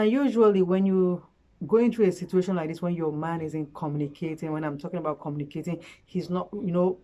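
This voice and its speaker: A woman giving relationship advice in a casual, earnest vlog chat, her voice hoarse from a cold. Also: lowish voice, mellow. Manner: chatty, earnest, frequent fillers. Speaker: relationship vlogger. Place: at home late at night.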